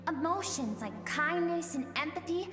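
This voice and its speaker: A woman acting a gentle, sorrowful young girl, a compassionate younger self pleading earnestly in a dramatic video game scene. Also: high-pitched, lively intonation, moderate pace. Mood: solemn, challenging. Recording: reverberant game scene with music bed.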